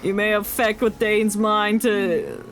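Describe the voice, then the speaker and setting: A woman's voice: medium pitch, slow. A woman streaming a game, giving casual, playful and emotive live reactions to the story. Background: game music.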